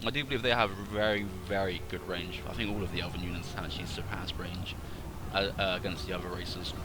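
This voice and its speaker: A man with a somewhat low voice and a stuffy, cold-congested tone talks through a strategy game replay at his desk. He rambles, backtracks and corrects himself as he thinks aloud. A steady background noise runs under his voice. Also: brisk. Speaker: gaming channel host. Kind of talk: casual game replay commentary.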